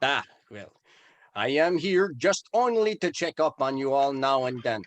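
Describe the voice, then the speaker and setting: A man's voice: mid-range voice, expressive, measured pace, no echo, natural voice. Man as polite park ranger, mild-mannered, matter-of-fact, dry close recording. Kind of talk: informal script table read.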